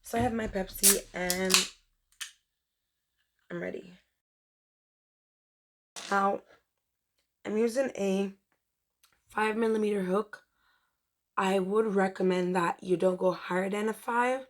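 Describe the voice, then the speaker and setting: A young woman hosting a chatty crochet tutorial, her voice mostly gone and rough from a dry throat, rambling and correcting herself as she goes. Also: mid-range voice, steady tone, takes it slowly, pauses often. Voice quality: strained, rough. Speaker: crochet tutorial host and shop owner.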